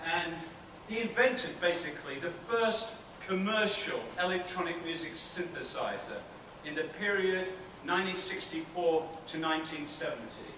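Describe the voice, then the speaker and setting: A middle-aged British man with a high, bright-pitched voice gives a chatty lecture to students. He sounds far from the mic in a big theatre, with heavy echo and a steady hiss behind him. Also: moderate pace, hardly any pauses. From Britain. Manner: chatty, asks the audience questions, self-deprecating asides. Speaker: university professor giving a guest lecture. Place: large theatre hall with an audience. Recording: very echoey hall, far-off and noisy.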